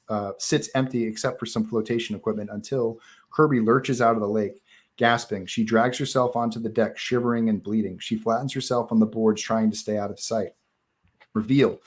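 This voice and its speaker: A man narrating stage directions at a live table read of a horror screenplay, in scripted narration style. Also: fairly low pitch.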